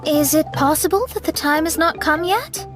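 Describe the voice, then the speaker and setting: A woman's voice: high voice, lightly acted. Woman voicing a thoughtful young adventurer. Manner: measured, reasoning aloud. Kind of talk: game voice acting. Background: music.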